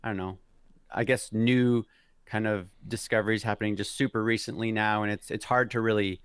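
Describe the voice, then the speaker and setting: A man's voice: lowish voice, steady tone, fairly quick, smooth, dry recording, clean studio sound. A man in his thirties, a guest on a video call, talking hesitantly with many fillers and restarts. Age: 30s. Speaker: guest mountain biker. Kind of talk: casual interview chat.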